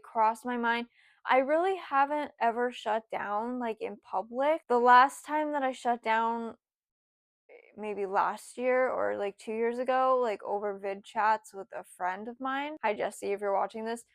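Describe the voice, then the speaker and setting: A woman in her twenties, rambling through a casual personal story with lots of fillers, her voice sometimes dropping soft. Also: on the high side, unhurried, dry recording, studio quality. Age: 20s. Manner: rambling, lots of "like" and "you know", voice drops soft at times. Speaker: vlogger.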